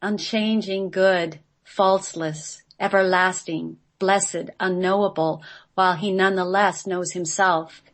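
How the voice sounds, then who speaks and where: A woman's voice: on the low side, fairly even intonation, slow pace. A woman reading a scripted mystical text aloud in a solemn recitation, as a guest on a radio show.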